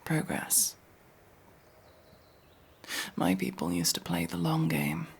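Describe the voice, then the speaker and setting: A man playing a guarded, world-weary elf mercenary, centuries old but young-looking, in an intimate, brooding ASMR fantasy roleplay with a breathy, gravelly, halting delivery. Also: fairly high pitch, huge pitch swings, heavily acted. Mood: brooding, wistful. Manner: brooding, halting, often dropping to a whisper.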